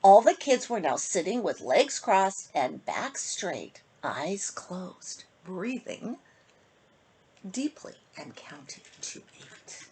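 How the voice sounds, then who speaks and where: A woman reading a rhyming picture book aloud to children in an expressive, sing-song manner, with phrases that often end softly. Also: mid-range voice, slow pace, slightly rough, rough recording. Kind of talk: storybook read-aloud for children.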